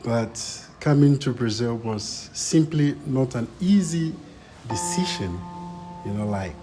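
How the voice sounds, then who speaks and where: Slow-talking Ghanaian vlogger over clear music. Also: mid-range voice, animated. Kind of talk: personal vlog narration to viewers.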